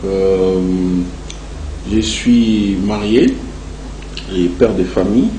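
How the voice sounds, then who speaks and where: A man with a Congolese accent giving long, measured and halting answers as a guest in a television interview. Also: lowish voice, fairly even intonation, warm tone. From Democratic Republic of the Congo. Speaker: interview guest, entrepreneur and association president. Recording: echoey room, clear background noise.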